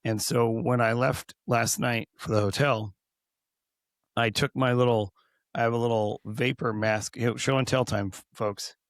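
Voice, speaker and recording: Hoarse male co-host, gravelly and rambling, easygoing podcast talk. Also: mid-range voice, steady tone, normal speed, no echo, studio quality. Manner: rambling, with many restarts. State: hoarse, recovering from lost voice. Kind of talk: casual podcast chat.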